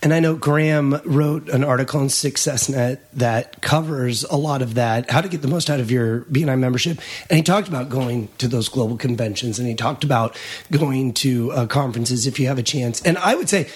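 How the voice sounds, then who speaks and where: A hoarse man hosting a podcast, speaking in a friendly, brisk way. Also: mid-range voice. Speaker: podcast host. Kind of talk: casual podcast chat.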